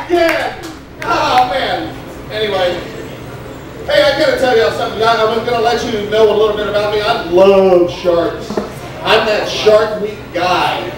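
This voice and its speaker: A young man doing stand-up comedy in a restaurant, rambling and halting with many fillers, and acting out a stoned, forgetful waiter. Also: sits high. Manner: acts out a stoned, scatterbrained waiter. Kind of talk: open-mic stand-up comedy. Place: Mexican restaurant with a live crowd. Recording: very echoey room, distant mic, crowd noise.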